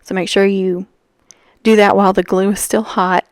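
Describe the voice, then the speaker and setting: A woman's voice: medium pitch, flat delivery, normal speed, no echo, clean studio sound. Scratchy-voiced woman chatting through crafts. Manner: rambling, chatty, lots of fillers. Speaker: craft tutorial host. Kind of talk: casual voice-over while crafting.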